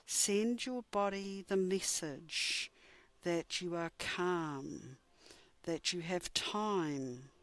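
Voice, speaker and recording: Slow, low-pitched woman guiding meditation. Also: no echo. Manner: measured, soothing, gentle instruction with frequent pauses. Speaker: guided meditation instructor. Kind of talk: guided meditation narration.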